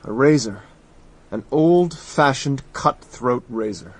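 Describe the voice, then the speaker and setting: An actor playing a brisk young man aboard a ship in a radio drama, urgent and calm under pressure. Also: mid-range voice. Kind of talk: 1960s radio drama acting.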